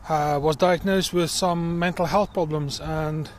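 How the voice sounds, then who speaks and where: A middle-aged man with a South African accent, talking earnestly about himself in a personal vlog while out walking in a field, with many pauses and fillers. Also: on the high side, fairly even intonation, a little hiss or hum. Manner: earnest and reflective, pausing with fillers. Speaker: crowdfunding campaigner. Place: outdoors in a field.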